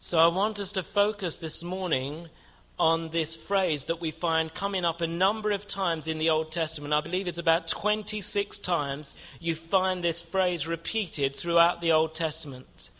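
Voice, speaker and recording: Bright-voiced earnest preacher, man. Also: on the high side. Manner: earnest, measured preaching, rises in fervent prayer. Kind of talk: sermon with prayer. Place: church building.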